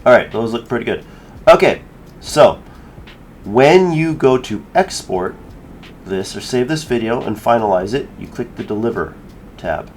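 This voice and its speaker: A man giving a casual software tutorial, informally thinking aloud as he works through each step. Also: medium pitch, expressive, a little slow, long pauses, a little hiss or hum. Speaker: tutorial creator.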